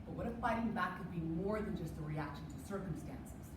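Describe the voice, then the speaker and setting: A woman's voice: on the low side, a little fast. A woman delivering a rehearsed, dramatic spoken-word recitation with emphatic, declamatory phrasing. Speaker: reader's theater performer. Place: live competition performance in a room. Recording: echoey room, distant mic, noisy.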